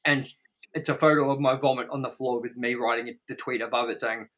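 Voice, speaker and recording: Guy cohosting a movie review chat, mid pitch, sharp voice, opinionated, swears and exaggerates. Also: steady tone, brisk. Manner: blunt, sweary, exaggerating for effect. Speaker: co-host of a movie review show.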